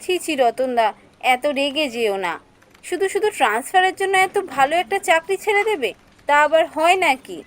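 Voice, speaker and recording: A woman's voice: sits high, expressive, normal speed. A woman voicing an earnest young female office worker who acts and narrates her own cartoon story expressively. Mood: concerned.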